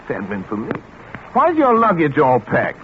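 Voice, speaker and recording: An actor playing a tormented scientist and father in a 1940s radio thriller, dramatic and halting, with words repeated in dread. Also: mid-range voice, huge pitch swings, slight background noise. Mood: alarmed. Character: tormented male scientist, a father in thrall to a brain. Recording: tinny 1940s radio broadcast with music.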